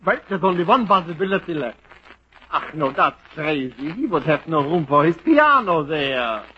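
A blustery know-it-all. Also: male voice, a little high, very animated, normal speed. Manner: blustering, jokey, mangles idioms. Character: blustery German immigrant man, bossy know-it-all. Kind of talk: 1940s radio acting. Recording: dull old radio broadcast with clear hiss.

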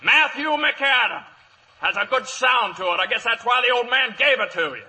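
A needling, vengeful western gunman from a vintage radio play. His voice is very high and piercingly bright. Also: expressive, normal speed, exaggerated voice. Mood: sardonic, needling. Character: sardonic, vengeful cowboy goading his brother. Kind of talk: 1950s radio drama acting. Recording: old 1950s radio broadcast.

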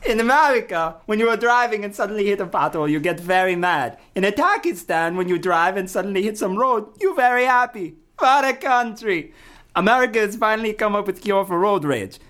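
A man's voice: sits high, expressive, fairly quick, a bit bright. A man playing a brash immigrant stand-up comic in a comic radio play, delivering punchline patter in broken English. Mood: brash, jokey. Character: brash male immigrant stand-up comic, parody of a Russian comedian.

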